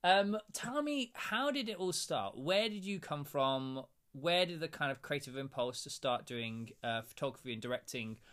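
A man's voice: on the high side, lively intonation, normal speed, few pauses, fairly bright tone. A man hosting a casual interview, chatty and meandering, with plenty of fillers and asides. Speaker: interviewer, host.

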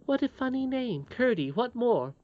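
A woman acts a little princess in a storybook. She is earnest, affectionate and full of questions, with a high, swooping childlike delivery. The sound is dry and a bit dull. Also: sing-song, normal speed. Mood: curious, polite. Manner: childlike, pleading. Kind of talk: storybook read aloud.

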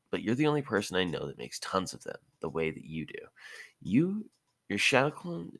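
A man voicing a breezy, excitable teenage ninja girl in an amateur story reading. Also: sits low, vocal fry, slightly rough, no room sound, heavily acted. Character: brash, curious teenage kunoichi girl, breezy and teasing.

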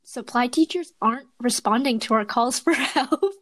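A young woman telling animated stories in casual chat, laughing often. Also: fairly high pitch, moderate pace, dry recording.

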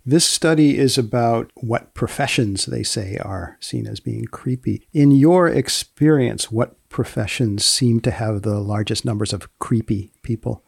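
A man hosting a podcast interview, asking questions in a deliberate, deadpan way. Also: mid-range voice, gravelly, slightly rough, no room sound, studio quality. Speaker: host, magazine editor. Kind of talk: podcast interview with scripted introduction.

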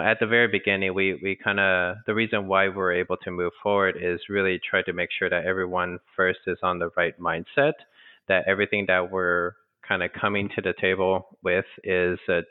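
An American man from Texas answering in a conversational business interview, explaining things in a halting, rambling way with self-corrections. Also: deep voice. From Texas, United States. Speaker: interview guest, franchise business co-founder. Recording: narrow, tinny phone-line sound.

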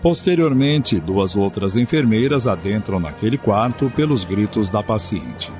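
A man's voice: fairly low pitch, animated, measured pace, darkish tone. A man narrating a scripted radio drama. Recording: dull audio. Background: music.

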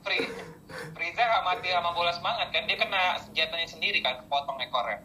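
A man's voice: high-pitched, fast talker, pushed and bright, roomy sound, slight background noise, rough recording. A man in a casual chat with friends, asking questions and correcting details.

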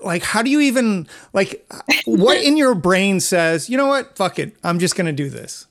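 A man hosting a conversational interview podcast, talking in an emphatic, halting way with pauses. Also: high voice, animated. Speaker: podcast host.

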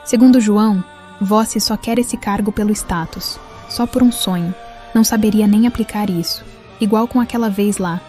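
A woman's voice: mid-range voice, normal speed, dark tone, soft background music, natural voice. A woman with a Brazilian accent playing a loyal, conspiratorial teenage campaign ally in audio drama, explaining and suggesting. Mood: reporting gravely.

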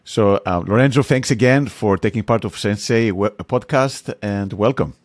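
A man's voice: fairly low pitch, normal speed, no echo, studio quality. A man hosting a podcast, friendly and asking questions with hesitant restarts. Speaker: podcast host. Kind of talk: interview hosting.

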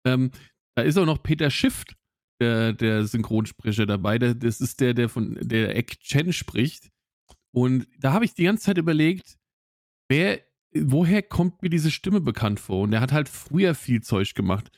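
A man's voice: mid-range voice, animated, a little slow, darkish tone, no room sound, studio quality. A man co-hosting a podcast, casually retelling a film plot with many restarts. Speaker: podcast co-host.

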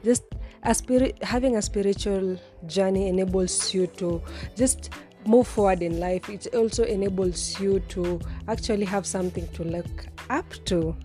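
A woman's voice: mid-range voice, normal speed, dark tone, faint music behind. A woman in her twenties hosting a solo lifestyle podcast, chatting casually with frequent restarts and repeated words. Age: 20s. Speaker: podcast host.

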